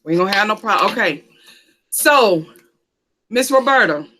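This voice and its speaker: Woman host for a church talk panel, lively, preacherly, repeats her phrases. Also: mid-range voice, moderate pace.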